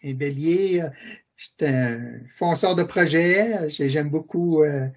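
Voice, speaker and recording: A man's voice: on the high side, takes it slowly. A middle-aged man from Quebec City with a Québécois French accent, earnestly giving testimony as an interview guest, repeating his affirmations and hesitating often. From Quebec City, Canada.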